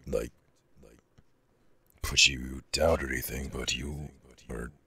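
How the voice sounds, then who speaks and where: A massive male dragon, earnest, proud and easily flustered, for an ASMR audio roleplay. The voice is extremely low and heavily gravelled, with a hard, sharp edge. He feels his way through sentences slowly, stammering and hesitating. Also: fairly even intonation, no echo, clean studio sound, heavily acted. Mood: shy, awkward. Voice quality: very deep, gravelly growl with breathy rasp.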